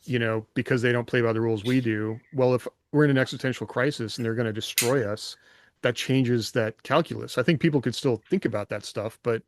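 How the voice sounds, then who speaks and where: Male podcast co-host, mid-pitched, reflective and rambling, casual, very dry close sound. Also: fairly even intonation, a little fast, no room sound. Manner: reflective, rambling, hedging with rhetorical questions.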